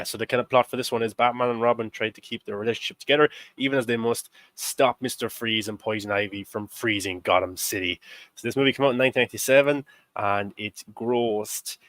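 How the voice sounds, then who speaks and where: A man's voice: lowish voice, brisk, fairly bright tone, no room sound. A young man in his twenties hosting a casual film discussion, rambling through facts with lots of fillers and little laughs. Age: 20s. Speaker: host.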